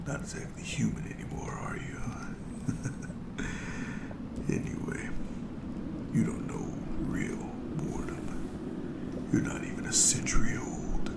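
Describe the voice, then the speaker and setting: A cruel, ageless monster , acted out by a performer, whispers tauntingly to its human toy in an audio roleplay. The breathy voice has a gravelly creak and savors each threat with theatrical, playful menace. It sounds roomy and set back from the microphone. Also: medium pitch, recorded from a distance, exaggerated voice. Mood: teasing.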